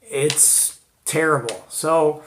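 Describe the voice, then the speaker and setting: Chatty man with a highish voice. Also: extremely slow, a bit bright. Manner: chatty, informal, slangy. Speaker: host of a CB radio hobby show. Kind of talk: casual show-and-tell commentary.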